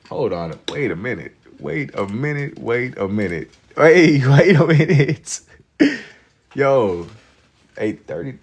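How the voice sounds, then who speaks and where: A man in his twenties hosting a livestream, chatting casually with viewers in laid-back slang and getting loud and laughing when teased. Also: mid-range voice. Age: 20s. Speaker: livestream host. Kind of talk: casual chat with viewers while drawing.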